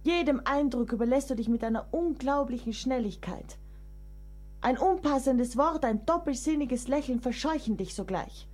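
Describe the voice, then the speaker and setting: Frank, high-voiced lady novelist. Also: expressive, normal speed. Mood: frank, reproachful. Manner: composed, literary, gently mocking. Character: frank, teasing, perceptive woman novelist, composer's longtime lover. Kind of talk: radio play dialogue.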